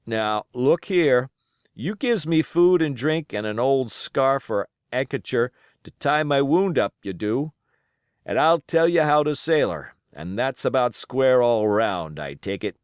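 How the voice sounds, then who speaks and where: Man acting wounded pirate sailor, sly, rough, wheedling, folksy drawl, swinging pitch, adventure storybook. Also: expressive, measured pace, no room sound, studio quality, close to an everyday voice. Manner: drawled, folksy sailor speech with rustic grammar.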